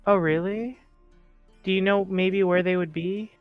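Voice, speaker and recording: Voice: mid-range voice, fairly even intonation, unhurried, smooth, no room sound, lo-fi sound, soft background music, natural voice. A player voicing a polite, hesitant orphaned teenage boy in a tabletop game, stammering a little. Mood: eager, hesitant.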